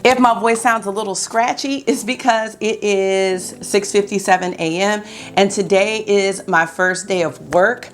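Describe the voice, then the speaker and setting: A woman's voice: mid-range voice, steady tone, measured pace. A woman in her thirties chatting casually to camera as a beauty vlogger from her bedroom, upbeat and talkative, her voice a little scratchy this early in the morning. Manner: chatty, upbeat, addresses viewers as y'all. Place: bedroom at home, indoors.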